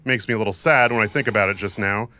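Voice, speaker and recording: Sharp-edged, sardonic male mercenary, acted. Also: fairly low pitch, fast. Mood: wry, wounded. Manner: wry, goading. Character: bitter, sardonic, tough male cyberpunk mercenary and mentor. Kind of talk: audio drama acting.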